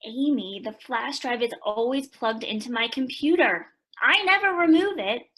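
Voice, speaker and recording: Woman doing a fiery teen girl in a radio play, swoopy high voice, really indignant. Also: expressive, normal speed, pushed and bright. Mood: distraught. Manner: emphatic, accusing delivery. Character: hot-tempered teenage girl writer, indignant and unforgiving.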